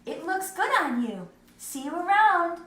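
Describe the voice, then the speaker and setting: A woman's voice: extremely high pitch, very animated, a little slow, fairly bright tone, echoey room, pitched above the performer's own voice, exaggerated voice. A woman voicing a friendly, easygoing young boy from a picture book she reads aloud.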